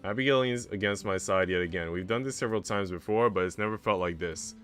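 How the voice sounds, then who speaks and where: A man's voice: on the low side, fast, a bit bright, soft background music. A young man streaming a visual novel read-aloud, narrating with casual, slangy reactions.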